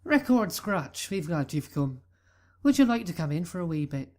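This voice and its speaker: A woman voicing a gentle middle-aged mother pony who calls people "dearie" in a fan audio reading. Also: fairly low pitch, sing-song, normal speed. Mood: doting.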